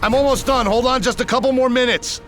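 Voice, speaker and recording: An actor playing an anxious tech operator in a video game, with an urgent, worried, technical manner. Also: male voice, very high-pitched, fairly even intonation, fast talker, fairly bright tone. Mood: hurried. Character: anxious male tech operator aiding a soldier by radio. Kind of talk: video game cutscene acting. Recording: music behind the voice. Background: music.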